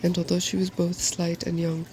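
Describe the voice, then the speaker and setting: A soft-spoken man reading a fairy tale aloud in ASMR style, in a gentle, measured storytelling manner. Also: high-pitched, monotone, a little hiss or hum. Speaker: storybook reader.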